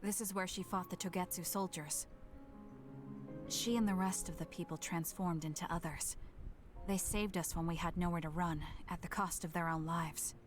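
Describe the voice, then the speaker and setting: A resolute young woman soldier , played by an actress, talks in a fairly low, deliberate voice. She is guarded but caring, in dramatic game cutscene style with strong music behind her. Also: steady tone, natural voice. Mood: somber, resolute. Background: background music.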